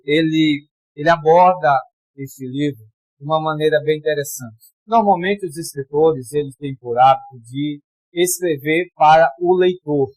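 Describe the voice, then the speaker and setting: A man from Pará, Brazil, preaching a sermon in a conversational way, calling for amens and reading scripture slowly with emphasis. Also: fairly high pitch, darkish tone, slightly rough, no echo, poor audio. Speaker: pastor.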